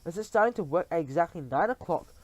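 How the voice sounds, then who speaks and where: Shrill fawning witch chorus, as a man puts them on. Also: lively intonation, fast, dry recording. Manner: shrill, gushing, sycophantic. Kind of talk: reading a storybook aloud.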